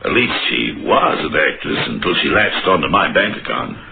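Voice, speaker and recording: Gravelly, blustering rich American. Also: male voice, deep voice, very animated, moderate pace, heavily acted. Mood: tense, exasperated. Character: blustering wealthy American man, agitated and accusing. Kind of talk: old-time radio drama acting. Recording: muffled old radio broadcast recording.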